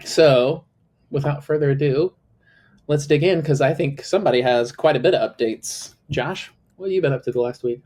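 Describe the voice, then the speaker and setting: A man co-hosting a casual podcast chat, talking in a loose, rambling way full of fillers, with a slightly high, a little nasal voice. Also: moderate pace, a little mellow. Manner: chatty, filler-heavy, lots of "like". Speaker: co-host.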